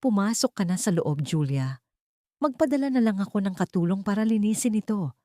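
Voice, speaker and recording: A woman's voice: medium pitch, expressive, brisk, mellow, dry recording, studio quality, voice pushed up, natural voice. A woman voicing a cold, dismissive husband giving curt orders to his wife, in an audiobook drama. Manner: curt, commanding.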